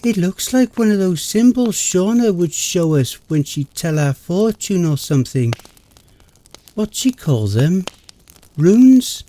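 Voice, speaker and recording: A man voicing a frightened young woman at a campsite, her voice high and shaky. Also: very animated, slow, warm tone, full character voice, low-quality recording. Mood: confused. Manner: shaky, pleading, high-pitched.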